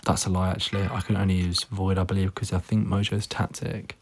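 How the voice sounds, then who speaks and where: A man with a hoarse, fading voice, giving tired, laid-back gameplay commentary full of ums. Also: sits low, little pitch movement, fairly quick. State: hoarse, losing his voice; tired, yawning late at night. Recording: noisy recording with clicks.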